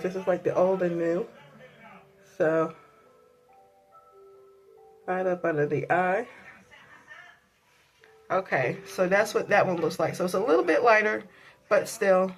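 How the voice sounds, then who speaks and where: A woman's voice: on the low side, a little slow, long pauses, soft background music. A woman with a sore throat and a stuffy voice, chatting casually through a makeup tutorial with many ums and likes. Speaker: beauty vlogger.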